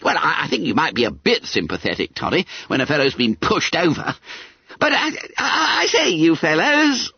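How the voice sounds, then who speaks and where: A man voicing a fat, greedy schoolboy who squeaks, stammers and babbles in self-pity. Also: very animated, moderate pace, creaky, breathy edge, no room sound, lo-fi sound, full character voice. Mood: pleading.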